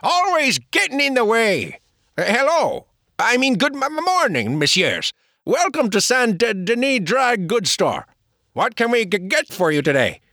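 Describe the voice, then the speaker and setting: A man reading an audiobook, voicing an excitable stuttering young male store clerk with a French accent. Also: very high voice, a little slow, dry recording, studio quality, pitched above the performer's own voice, full character voice. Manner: stuttering, chattering. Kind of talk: audiobook character voice.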